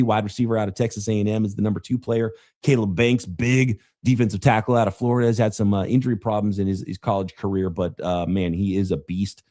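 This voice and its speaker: A man hosting a live podcast about the draft, slightly raspy as he fights off a cough, talking casually while he runs a draft simulator. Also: fairly low pitch, warm tone. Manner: casual, thinking aloud while running a draft sim. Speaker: podcast host.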